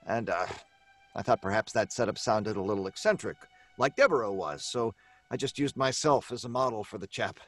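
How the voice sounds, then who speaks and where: An actor playing a nervous, weary, eccentric novelist in an old-time radio mystery, speaking hesitantly with stammers and self-deprecation. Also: male voice, lowish voice, animated, a little fast, dry recording, soft background music. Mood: hesitant, explaining.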